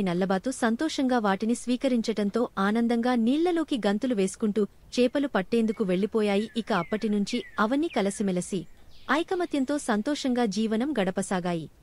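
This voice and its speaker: A woman's voice: a little high, fairly quick, darkish tone. A woman narrating a scripted children's fable as a storyteller.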